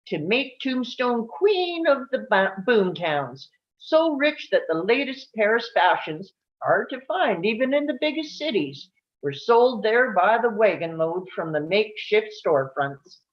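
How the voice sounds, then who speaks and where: A woman reading scripted narration aloud at a live table read, telling it like a story and now and then stumbling over a word. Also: fairly high pitch. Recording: dull, lo-fi sound.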